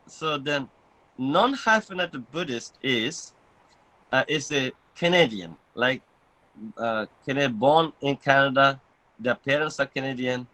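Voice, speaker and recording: A man's voice: medium pitch, pushed and bright, rough recording. A man who is a Buddhist monk, born in Bangladesh, talking casually with many filler sounds and chuckling at his own jokes. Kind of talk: conversational talk with fillers.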